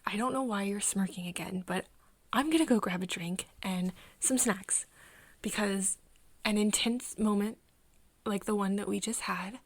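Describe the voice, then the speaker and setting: A woman playing a caring, flustered young woman in an ASMR roleplay, with a soft, breathy voice and a tender, stammering manner. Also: mid-range voice, no echo. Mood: relaxed, affectionate. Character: caring, flustered young woman soothing her vampire friend.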